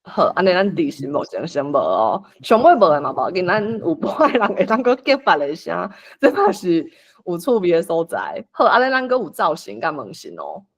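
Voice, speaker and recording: A Taiwanese-accented host with a very lively, swinging pitch in a medium register. The delivery is casual and coaxing, as in an online group organizing who reads which role. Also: a little fast. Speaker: host assigning roles for a script read-along. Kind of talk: casual online meeting chat.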